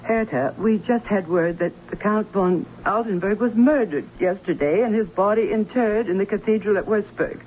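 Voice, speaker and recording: An actress playing a prim, anxious, fussy middle-aged aunt who tells her story in an old radio drama, with dramatic emphasis and deliberate pauses. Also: mid-range voice, a little hiss or hum, natural voice. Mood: grave. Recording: dull vintage radio recording, faint music.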